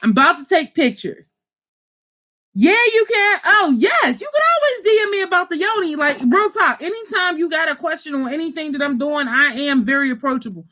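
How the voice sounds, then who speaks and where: A high-pitched woman chatting casually to her viewers about spiritual kits she sells. She is animated and talkative, swears freely and keeps calling her audience y'all. She comes from Pittsburgh. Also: fairly quick. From Pittsburgh, USA. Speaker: spiritual kit seller and channel host. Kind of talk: casual livestream chat to viewers.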